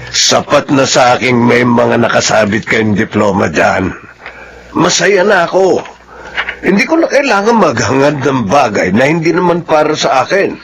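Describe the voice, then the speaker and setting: A man's voice: medium pitch, very animated, normal speed, a bit bright. Guy playing humble dad around fifty in a drama, grumbly and reluctant, some noise behind. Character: reluctant humble fifty-year-old father turned student. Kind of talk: Filipino audio drama acting.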